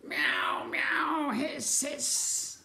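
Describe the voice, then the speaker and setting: A menacing pack of castle cats from a children's story, acted out by a man. They yowl high and hiss in drawn-out bursts, in an echoey room. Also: wildly swooping pitch, gravelly, bright, forceful tone, heavily acted. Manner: drawn-out meowing and hissing. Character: yowling, hissing, menacing army of castle cats.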